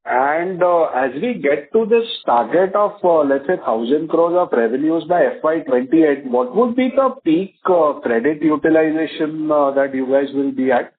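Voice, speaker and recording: A man's voice: fairly high pitch, lively intonation, normal speed, few pauses, breathy edge. A male analyst, asking an executive polite questions on an earnings call and hedging with fillers. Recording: tinny phone line with faint noise.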